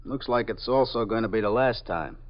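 An actor playing a level-headed police detective who questions a suspect calmly and firmly in a 1950s radio drama. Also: male voice, on the low side, brisk, close to an everyday voice. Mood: stern. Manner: calm, firm questioning. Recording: dull lo-fi old radio broadcast.

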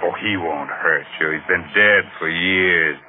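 An actor playing a ghoulish, tongue-in-cheek host of a 1940s radio horror show, with theatrical, drawn-out words. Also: male voice, low voice, slight background noise. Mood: gleefully macabre. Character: ghoulish, gleefully macabre host of a haunted door. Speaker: host of a mystery radio program. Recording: muffled old 1940s radio broadcast. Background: music.

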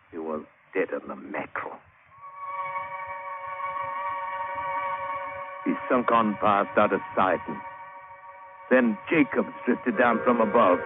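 Actor as a low-voiced British sailor spinning a yarn. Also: male voice, close to an everyday voice. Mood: grim, tense. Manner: colloquial old-salt storyteller with rustic grammar. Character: plain-spoken working-class sailor-diver telling his grim adventure. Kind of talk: 1940s-50s radio drama acting and narration. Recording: muffled, narrow-band, hissy old radio broadcast.

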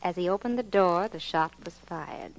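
A woman's voice: fairly low pitch, moderate pace, gravelly, rough recording. A woman acting a scheming, insistent young woman in a melodramatic 1940s radio crime drama, with an American accent. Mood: cool, guarded. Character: scheming young woman, hysterical accuser, cool accomplice. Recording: old 1940s radio recording.

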